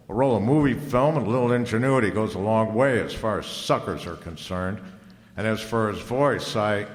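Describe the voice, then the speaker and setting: Low-voiced hard-boiled detective played by a man, echoey. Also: natural voice. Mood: dry, knowing. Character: wry, tough male private detective narrating his case. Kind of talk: old-time radio detective drama, scripted. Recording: echoey hall, long reverb, faint music.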